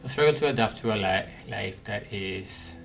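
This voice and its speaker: A man's voice: on the low side, moderate pace, vocal fry, a bit bright. A man giving casual playthrough commentary, thinking aloud hesitantly as he muses over his choices. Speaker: gaming video host. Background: game music.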